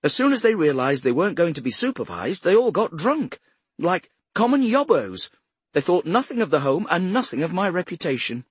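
Man voicing a haughty, high-pitched cultured older Englishwoman. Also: big pitch swings, moderate pace, husky, no echo, full character voice. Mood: indignant, self-righteous. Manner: prim, self-righteous, sharp. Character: cultured, haughty retired care-home matron, a grandmother.

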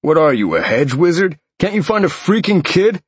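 A man's voice: fairly high pitch, very animated, fast, a little mellow, breathy edge, pitched above the performer's own voice. A man playing an impatient, contemptuous gang member with a demanding, sneering manner in an audiobook story.

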